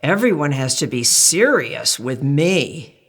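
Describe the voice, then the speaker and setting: A woman voicing a wistful, envious kitchen utensil in a storybook, with a drawn-out, admiring tone. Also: extremely low pitch, big pitch swings, a bit bright, slightly rough, full character voice. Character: wistful utensil friends, envying an easygoing pal.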